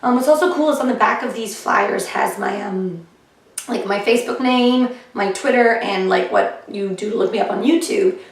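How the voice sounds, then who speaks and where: A woman comedian chatting casually in a vlog about her shows, her voice a little hoarse and often clearing her throat. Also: mid-range voice, moderate pace. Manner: chatty and upbeat, with fillers. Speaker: comedian vlogging her diary. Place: living room of a flat, indoors. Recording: echoey room, heard from a distance.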